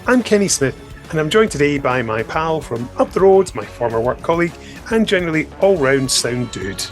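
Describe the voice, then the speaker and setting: A man's voice: on the high side, very animated, brisk, faint music behind. A man hosting a podcast, talking in an upbeat, jokey, casual way. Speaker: podcast host.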